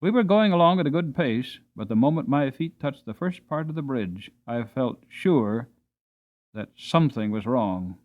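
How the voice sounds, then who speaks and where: A man narrating a storybook dramatically, expressive and varying his pacing, on a slightly dull recording. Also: mid-range voice, normal speed. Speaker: audiobook narrator. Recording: slightly dull, band-limited audio.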